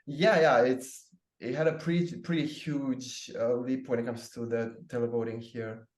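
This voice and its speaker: A man's voice: mid-range voice, steady tone, moderate pace, a little mellow. Moldovan man, echoey room. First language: Romanian. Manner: hesitant, many fillers. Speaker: panelist. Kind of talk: casual panel chat.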